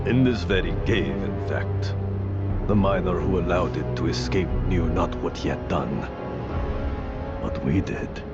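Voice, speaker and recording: Very deep, gravelly fanatical priest. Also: male voice, heavily acted. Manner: solemn, measured, formal. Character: burned fanatical male fire-cult priest, menacing and calm. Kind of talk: dramatic game cutscene acting. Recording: slightly dull sound under loud ominous music.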